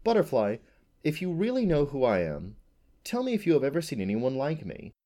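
A man voicing a gentle, lonely female unicorn who speaks softly and wistfully. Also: medium pitch, huge pitch swings, a little fast, voice pushed up. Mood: pleading. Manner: soft, pleading, wistful. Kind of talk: audiobook character voice.

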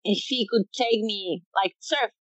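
A woman's voice: medium pitch, no echo, highs cut off. A woman in her late twenties with a Chilean accent, talking casually in an interview and often stopping to restart her sentences. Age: late 20s. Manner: halting, many restarts and fillers. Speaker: guest, professional bodyboarder.

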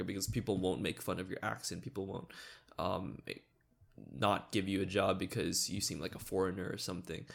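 A young man with a deep, gravelly edge co-hosts a podcast, rambling easily through his thoughts. He leans on fillers and small laughs as he talks. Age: young adult. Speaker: podcast co-host. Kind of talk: casual chat.

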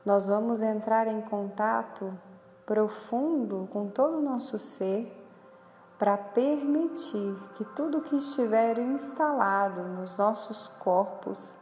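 A Brazilian woman narrating a guided meditation in a measured, instructional way, with long pauses between phrases. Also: mid-range voice, darkish tone. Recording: echoey with long reverb, background music. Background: music.

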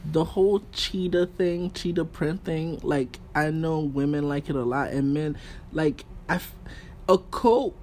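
A man chatting casually and informally as a guest, using relaxed slang as he gives his opinions. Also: on the high side, a little slow. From Haitian background. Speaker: podcast guest. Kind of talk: casual chat about fashion. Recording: clear background room noise.

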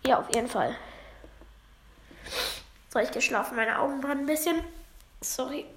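High-voiced woman, halting game commentary. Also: a bit bright. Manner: halting, apologetic, loses her words. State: feeling under the weather. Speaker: let's play gamer. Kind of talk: casual gameplay commentary.

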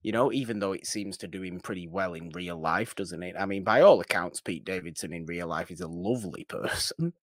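A man's voice: low-pitched, lively intonation, fairly quick, creaky, hardly any pauses, no echo, clean studio sound. A man co-hosting a podcast, casually discussing film in an emphatic way, repeating phrases and throwing out rhetorical questions. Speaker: podcast co-host.

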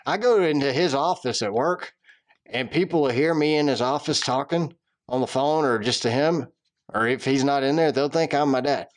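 A man's voice: mid-range voice, moderate pace. A man with a Southern accent co-hosting a podcast, bantering in a dry, self-deprecating way. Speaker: podcast co-host. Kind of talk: casual chat.

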